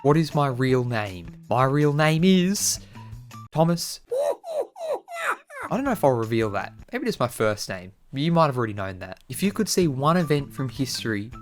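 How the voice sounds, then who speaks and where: A man's voice: mid-range voice, big pitch swings, moderate pace. A man talking casually to his viewers as an online video creator answering their questions. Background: music.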